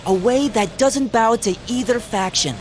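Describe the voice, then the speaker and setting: A woman playing a gentle, hopeful young student in a fantasy video game cutscene. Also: a little high, moderate pace, lightly acted. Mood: hopeful, pleading. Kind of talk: English dub video game cutscene acting. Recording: faint background noise.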